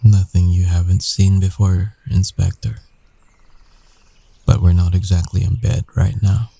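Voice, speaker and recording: A man playing a guarded, earnest werewolf ex-boyfriend in an intimate ASMR roleplay, speaking haltingly with long pauses. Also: low voice, flat delivery, vocal fry, warm tone, breathy edge, heavily acted. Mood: weary, shaken.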